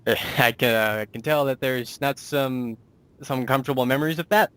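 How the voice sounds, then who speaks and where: A man's voice: mid-range voice, normal speed, a bit bright, clean tone, dry recording. A man hosting a casual, chatty interview in an enthusiastic, reactive manner. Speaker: interviewer, host.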